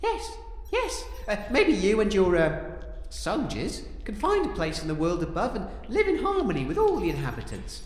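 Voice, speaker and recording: A man playing an excitable, kind-hearted, slightly dim hero, earnest and florid, in a comic audio drama. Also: high-pitched, moderate pace, echoey room, big-room sound. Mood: earnest, soothing.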